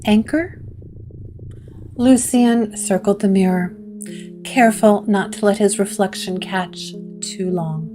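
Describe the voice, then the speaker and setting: A woman narrating a scripted gothic horror story in a dramatic, deliberate way, with plucked string music behind her. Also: mid-range voice.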